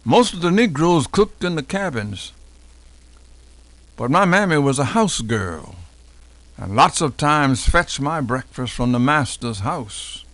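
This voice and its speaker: A man's voice: fairly high pitch, fairly bright tone, no echo, full character voice. A man playing an elderly formerly enslaved American man recalling his childhood in a folksy, dialect-heavy dramatic reenactment. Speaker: professor doing a dramatic reading. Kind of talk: dramatic first-person oral history reenactment.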